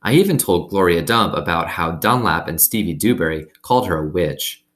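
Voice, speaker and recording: Low-voiced man reading a storybook aloud. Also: animated, moderate pace, fairly bright tone. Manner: explains the story in simple, conversational asides. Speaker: read-aloud host. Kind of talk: expressive storybook reading with casual commentary.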